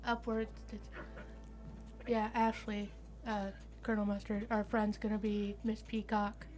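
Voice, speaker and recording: A woman's voice: medium pitch, flat delivery, unhurried, a little mellow, faint music behind. A woman chatting casually as a tabletop game master among friends. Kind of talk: casual chat.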